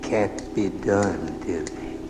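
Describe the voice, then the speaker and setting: An actor playing a gentle, resigned older father, tender and weary, in a live radio drama. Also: low voice, slow pace, music in the background. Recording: noisy old off-air radio recording.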